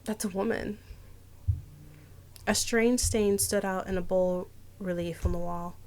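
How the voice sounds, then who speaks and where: A woman's voice: medium pitch, steady tone, a little slow, a little mellow. A young woman streamer reading visual novel text aloud dramatically, with casual asides. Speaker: game streamer.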